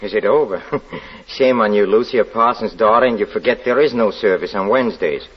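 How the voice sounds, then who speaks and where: An actor playing a murderous convict who poses as a pastor, halting and theatrical, in old radio drama style. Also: male voice, on the low side, husky. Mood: feigned mild piety. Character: murderous escaped convict posing as a small-town pastor, bitter, taunting. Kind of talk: 1940s radio drama acting. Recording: dull, lo-fi 1940s radio recording.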